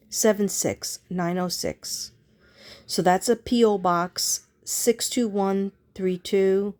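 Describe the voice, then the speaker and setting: Slow-talking woman, casual and rambling. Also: medium pitch, a little mellow. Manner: unhurried, meandering, thinking aloud. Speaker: psychic reader and vlogger. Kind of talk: casual rambling vlog talk to viewers.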